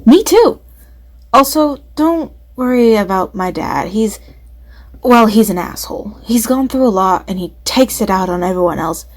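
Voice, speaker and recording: A woman's voice: mid-range voice, expressive, moderate pace, lightly acted. A woman playing a trusting, candid young woman who is a friendly confidante in an audio drama.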